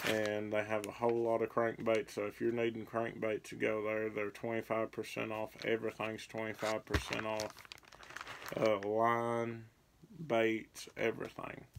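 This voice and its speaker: Young man, slow gravelly voice, flat delivery, crinkling bags, phone in a bedroom. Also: lowish voice, noisy background. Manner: rambling, laid-back, listing baits as he handles them. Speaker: fishing vlogger. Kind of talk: casual tackle show-and-tell. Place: small bedroom indoors. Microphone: phone camera at arm's length. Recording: crinkling plastic bait bags behind the voice.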